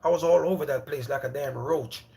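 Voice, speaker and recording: A man's voice: on the high side, a little fast, vocal fry, dark tone. Chatty man hosting a livestream. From Jamaica. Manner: loose, rambling, repeats himself, calls out to viewers as brother and bro. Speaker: livestream host. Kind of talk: casual livestream chat with viewers.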